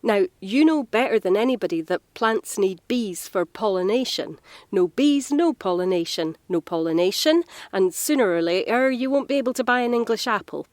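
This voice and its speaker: A woman's voice: medium pitch, lively intonation, normal speed, a little mellow, no room sound, natural voice. An actress playing a frank, caring working woman, explaining things earnestly in a naturalistic audio drama. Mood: grave, emphatic.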